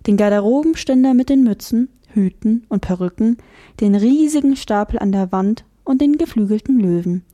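A woman reading a children's novel aloud as the narrator, expressive and lively. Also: medium pitch. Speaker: audiobook narrator. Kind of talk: scripted storybook narration.

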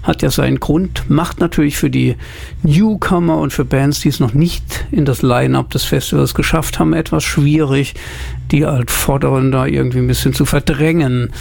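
A man's voice: a little high, fairly even intonation, moderate pace, gravelly, darkish tone. Chatty male jazz radio presenter. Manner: chatty, thinking aloud with fillers. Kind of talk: casual spoken radio presentation.

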